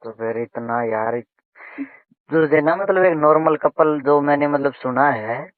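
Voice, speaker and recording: Man on a tinny phone call, higher-pitched voice. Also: unhurried. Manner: colloquial, teasing, repeats phrases. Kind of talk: casual intimate chat.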